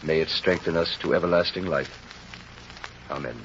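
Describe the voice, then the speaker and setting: An actor playing a saintly, patient bishop who speaks in a measured, gently reasoning way in an old-time radio drama. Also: male voice, a bass voice, steady tone. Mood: solemn. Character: saintly, serene, forgiving male bishop. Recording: dull, noisy old radio recording.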